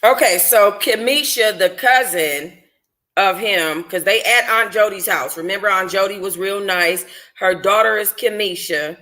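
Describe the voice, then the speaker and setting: Book club host: woman, bright forceful voice, informal and sassy, reading like a casual livestream. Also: medium pitch, measured pace.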